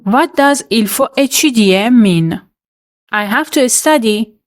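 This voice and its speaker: A woman reading out a French quiz for learners, pronouncing each answer choice and explanation in a measured, scripted way. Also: fairly high pitch, lively intonation. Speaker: French teacher.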